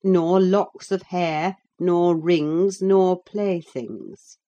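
A woman's voice: lowish voice, steady tone, drawn-out words, dark tone, highs cut off. A woman voicing a stern servant scolding her young mistress in an audiobook, grave and sarcastic. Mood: firm bargaining. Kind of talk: audiobook character acting.

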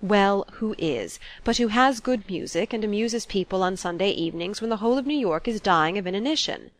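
A woman reading a storybook as an ironic, impatient young gentleman, polite but argumentative. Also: medium pitch, expressive, brisk, natural voice.

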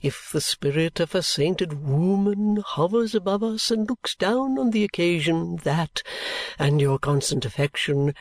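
Woman playing a pompous old gentleman, ponderously slow. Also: sits low, no room sound, pitched below the performer's own voice, heavily acted. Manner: grandiose, ponderous, drawn-out delivery. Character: pompous, theatrical old gentleman father, grandly self-satisfied. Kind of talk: audiobook character acting.

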